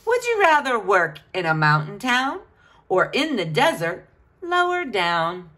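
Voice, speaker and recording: A woman's voice: mid-range voice, huge pitch swings, a little slow, fairly bright tone. A woman reading a picture book aloud to children, with a sing-song, questioning delivery.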